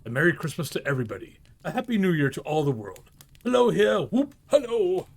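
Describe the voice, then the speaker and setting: An overjoyed old reformed miser from a storybook, acted out by a man, gushing like an excited child. The pitch leaps and swoops freely as he whoops and exclaims breathlessly. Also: brisk, vocal fry, full character voice. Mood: giddy. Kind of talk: dramatised storybook reading.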